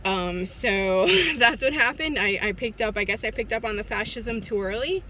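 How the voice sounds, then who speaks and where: A woman's voice: medium pitch, normal speed, sharp tone, slight background noise. A woman in her forties talking to her phone camera from a parked car, casual and hesitant with many fillers and restarts. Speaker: vlogger. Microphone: phone at arm's length.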